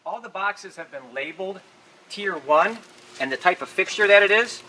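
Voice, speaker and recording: A man's voice: sits high, lively intonation, moderate pace, sharp tone. A man giving practical, informal step-by-step instructions as he demonstrates an assembly. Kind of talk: hands-on instructional narration. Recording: noisy room, uneven distance from mic.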